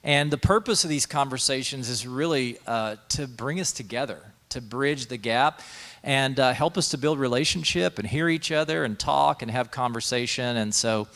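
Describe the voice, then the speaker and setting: A man's voice: mid-range voice, normal speed, a bit bright. A man, a pastor, hosting an interview and talking in a friendly way, laughing easily.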